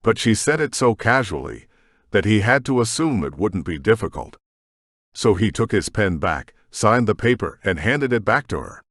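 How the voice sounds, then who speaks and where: Brief: male author-narrator, lowish animated voice, dry close studio sound. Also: normal speed, dry recording. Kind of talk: scripted audiobook narration.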